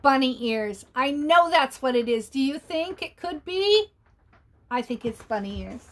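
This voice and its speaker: A woman reading a picture book aloud to children, chatty and animated, often pausing to ask her listeners questions. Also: high-pitched. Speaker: storyteller.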